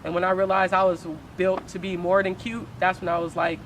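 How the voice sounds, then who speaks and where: A young woman from Oakland with a deep voice, speaking candidly as a poet guest in a casual interview, full of slang and swearing. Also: little pitch movement, a little fast, slight background noise, rough recording. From Oakland, California.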